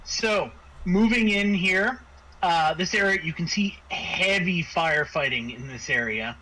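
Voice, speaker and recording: A man narrating a tabletop roleplaying game as game master, improvising scenes in a halting way as he thinks aloud. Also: high-pitched, background noise.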